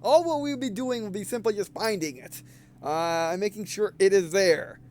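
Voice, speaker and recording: A man doing casual let's play commentary, reading game dialogue aloud and thinking out loud, often repeating and restarting his phrases. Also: high-pitched, normal speed. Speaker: gameplay video host.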